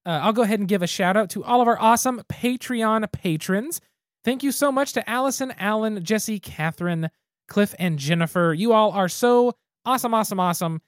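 A man's voice: high voice, fairly quick, no room sound, studio quality. A man co-hosting a podcast, chatting casually and thinking aloud as he reviews a beer. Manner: easygoing, thinks aloud with restarts. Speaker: podcast co-host.

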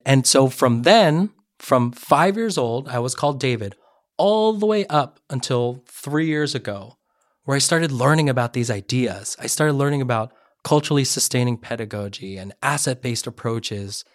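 A middle-aged man, a podcast guest, tells a personal story earnestly in a relaxed interview and often restarts his sentences. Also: mid-range voice, moderate pace, dry recording, clean studio sound. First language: Korean. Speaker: podcast guest, education advisor. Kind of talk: conversational interview.

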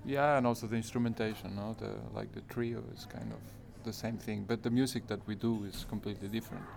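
Gravelly low-voiced male guitarist. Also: fairly even intonation, fast, darkish tone, slight background noise. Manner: searching for words. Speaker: guitarist in a band. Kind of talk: casual interview answers.

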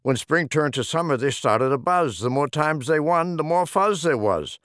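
A man's voice: a little high, animated, moderate pace, fairly bright tone, dry recording, studio quality. A man reading humorous rhyming poems as an audiobook narrator, with a theatrical, rhythmic delivery. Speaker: audiobook reader.

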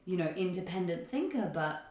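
A woman hosting a casual panel discussion, chatty and informal as she tells stories in an animated way. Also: fairly low pitch, a little fast. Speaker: podcast host. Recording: echoey room.

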